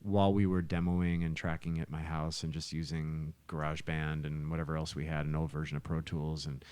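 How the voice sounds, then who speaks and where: A man's voice: a bass voice, flat delivery, a little fast, a little mellow, clear, resonant tone, no echo. A middle-aged man, a musician and podcast guest, telling stories in a casual, talkative, rambling way. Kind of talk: casual chat, storytelling.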